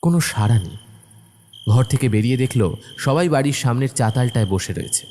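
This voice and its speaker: A man's voice: mid-range voice, animated, brisk, darkish tone, a little hiss or hum. A man narrating a scripted horror story as its storyteller.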